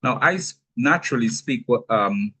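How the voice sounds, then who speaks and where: A man with a Guyanese accent, giving a measured, explanatory teaching talk with touches of gentle humor. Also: mid-range voice, animated, husky. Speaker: speaker on anger management.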